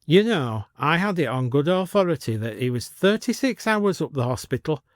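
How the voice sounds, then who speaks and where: A man's voice: on the high side, big pitch swings, moderate pace, dry recording, clean studio sound, voice pushed up. A man voicing a gossipy, confiding village woman in an audio story.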